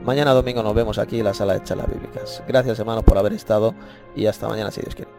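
Man, Spain Spanish accent, Bible chat host, unhurried, repeats himself, faint music in the background. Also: lowish voice, fairly even intonation, creaky. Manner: unhurried, repeats phrases, addresses listeners as brothers. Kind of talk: casual preaching and closing remarks. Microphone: online voice chat.

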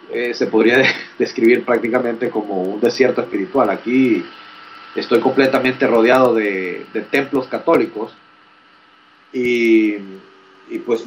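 A man's voice: medium pitch, far from the mic, slight background noise, low-quality recording. A young man from Honduras, a graduate student politely asking a question, formal and hesitant with many fillers. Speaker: graduate student, first-time participant. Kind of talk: asking a question in an online chat.

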